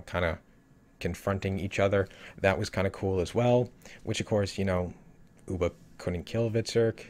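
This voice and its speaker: A man with a lowish voice reviewing an episode in a loose, unscripted way. He rambles, circles back, and fills his pauses with lots of hedges. His voice sounds a bit worn, as if he is losing it. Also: a little mellow. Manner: rambling, many fillers and hedges. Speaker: show reviewer. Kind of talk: casual solo review talk.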